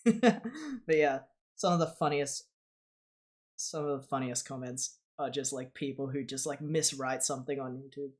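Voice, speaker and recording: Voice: medium pitch, normal speed, a little mellow. A young adult streamer from Australia with an Australian accent, chatting casually and rambling, often breaking into laughter and cutting off their own sentences. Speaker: streamer, channel host.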